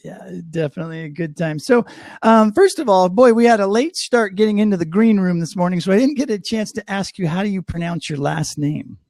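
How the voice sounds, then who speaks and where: Upbeat chatty guy hosting a webinar, pretty high voice, dry close sound. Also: expressive, brisk, darkish tone, no room sound. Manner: upbeat, chatty, self-deprecating. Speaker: host. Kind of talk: live webinar interview. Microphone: video call.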